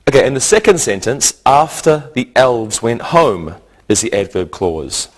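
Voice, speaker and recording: A male teacher with a mid-pitched voice delivers a scripted grammar lesson. He speaks slowly and deliberately, breaking explanations into short, didactic phrases. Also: big pitch swings.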